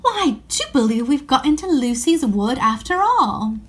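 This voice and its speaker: A woman's voice: sits high, sing-song, moderate pace. A woman voicing a practical, sensible schoolgirl from a children's storybook, with proper old-fashioned phrasing. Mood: excited complaint.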